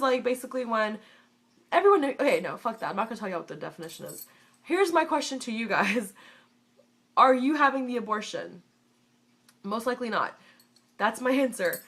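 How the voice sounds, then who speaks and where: Woman, 20s, American, congested with a cold; slightly high voice, blunt opinionated vlogger who swears freely. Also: a bit bright. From United States. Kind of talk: casual Q&A vlog.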